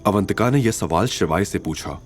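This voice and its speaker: A man narrating a scripted drama story in an expressive, suspenseful storytelling style. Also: low-pitched, normal speed, soft background music.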